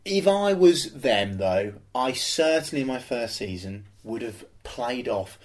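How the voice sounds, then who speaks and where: A man's voice: mid-range voice, lively intonation, a little slow. A young man co-hosting a football podcast, talking in an emphatic, rambling way with plenty of restarts. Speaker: podcast co-host. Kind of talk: casual football chat.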